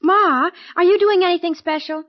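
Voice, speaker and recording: A young, affectionate daughter in an old radio drama. The voice is high, girlish and eager, on a dull, lo-fi recording. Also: moderate pace. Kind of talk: mid-century radio drama acting.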